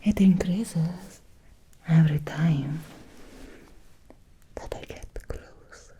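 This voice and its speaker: A woman playing an ancient, possessive female vampire in an ASMR roleplay, speaking in a breathy, seductive whisper with lingering, deliberate pauses. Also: sits low, dark tone, exaggerated voice. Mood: curious, seductive.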